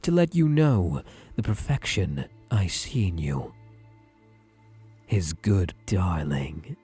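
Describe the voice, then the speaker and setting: A man playing a possessive, doting therapist in an ASMR roleplay, speaking in a soothing, deliberate, hushed way with breathy murmurs. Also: deep voice, big pitch swings, faint music behind, exaggerated voice. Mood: tender, doting. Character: possessive male therapist, softly controlling, doting and obsessive.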